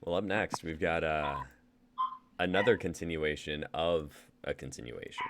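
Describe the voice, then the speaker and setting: A man's voice: very deep voice, normal speed, noisy background. A man hosting a live reading stream, chatting casually and swearing and laughing as he tells a story. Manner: loose, swearing, laughing between phrases. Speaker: reading host. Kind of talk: casual chat after a read.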